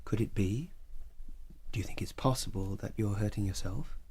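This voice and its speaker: A man acting in an audio drama as a calm psychiatrist in his thirties, measured, questioning and probing. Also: on the low side, breathy edge.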